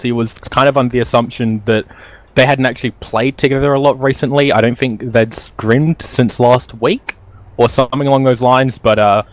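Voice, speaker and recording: A man co-casting a live esports match in a chatty, upbeat way, laughing now and then between phrases. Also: mid-range voice. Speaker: esports caster. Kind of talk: live game commentary.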